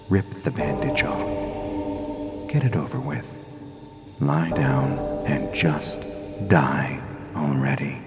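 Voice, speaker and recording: An actor playing a cruel voice that slowly taunts a dreamer toward death in an audio drama. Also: male voice, rumbling low, heavily acted. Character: menacing male voice urging a dreamer to die.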